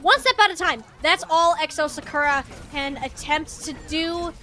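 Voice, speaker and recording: A woman's voice: high-pitched, normal speed, pushed and bright. A woman doing live esports play-by-play commentary in a casual, wry, slangy way.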